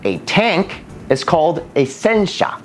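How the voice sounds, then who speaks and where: A young man who grew up speaking Japanese and English, hosting a scripted educational video with a lively, playful delivery. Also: fairly high pitch, slow pace, faint music behind. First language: bilingual in Japanese and English. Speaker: language educator and video host.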